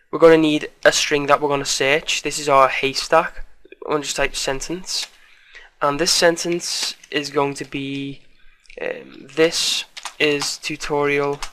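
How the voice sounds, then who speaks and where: A man presenting a casual programming tutorial in an informal, hesitant, apologetic way, slightly croaky as he recovers from a cold. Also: fairly high pitch, bright, forceful tone, a little hiss or hum.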